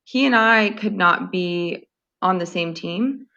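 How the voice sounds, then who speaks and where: A hoarse woman co-hosting a podcast, casually rambling through a dream story and laughing often. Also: on the low side, slow pace, sharp tone, muffled recording. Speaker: podcast co-host. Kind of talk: casual chat, telling a dream.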